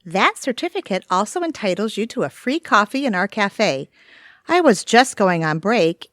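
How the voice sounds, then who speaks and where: A woman's voice: mid-range voice, very animated, normal speed, fairly bright tone, dry recording, clean studio sound. A woman acting a polite but evasive bookstore clerk in a comedic audio play, easily flustered. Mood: courteous. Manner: courteous but guarded.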